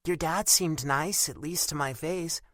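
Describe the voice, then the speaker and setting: A man's voice: on the high side, a little slow, dry recording, studio quality, pitched above the performer's own voice. A man voicing an irritated young American woman who talks bluntly and with sarcastic bite. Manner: sharp, sarcastic, cutting.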